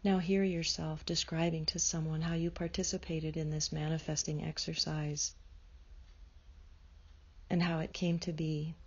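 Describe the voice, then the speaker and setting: Slow, gravelly-voiced woman guiding meditation. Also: low voice, little pitch movement, lo-fi sound. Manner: slow, soothing, drops to soft whispers. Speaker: meditation guide. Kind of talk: guided meditation.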